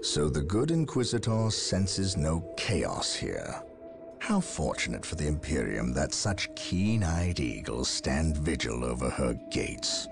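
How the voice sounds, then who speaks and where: An actor playing a stern, brooding male space marine captain who speaks in a grave, measured, commanding way. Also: extremely low pitch, huge pitch swings, vocal fry, over music, full character voice. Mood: resolute. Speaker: soldier. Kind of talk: video game cutscene acting.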